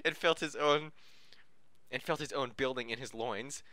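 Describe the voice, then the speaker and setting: A man's voice: medium pitch, normal speed, sharp tone, a little hiss or hum. A man reading a story aloud as an amateur podcast host, with joking asides and corrections of his own slips. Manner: breaks off to laugh, joke and correct misreadings.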